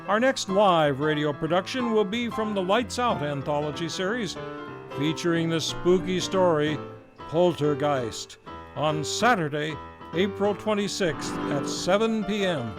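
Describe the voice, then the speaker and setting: A man's voice: high-pitched, measured pace. A man, the series host, reading the closing credits and announcements of a radio play in a polished, formal announcer manner. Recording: music playing underneath. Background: music.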